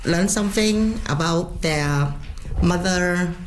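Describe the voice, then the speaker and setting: Give me a woman, a native Cantonese speaker and teacher, speaking earnestly in a lowish voice. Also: slow, a little hiss or hum. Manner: earnest, searching for words. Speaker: kindergarten language teacher. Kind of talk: interview answers.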